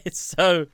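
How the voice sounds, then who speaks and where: A man's voice: high-pitched, sharp tone, clear, resonant tone, dry recording, studio quality. A man co-hosting a casual podcast about a TV soap, swearing freely and acting out characters' lines. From United Kingdom. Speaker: podcast co-host.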